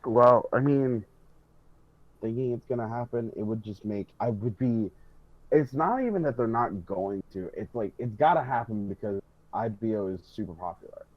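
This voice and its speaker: A man's voice: fairly low pitch, lively intonation, normal speed, no echo. A man chatting casually as a podcast panelist, rambling and rephrasing as he goes. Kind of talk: casual hobby chat. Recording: dull, close, dry lo-fi voice track.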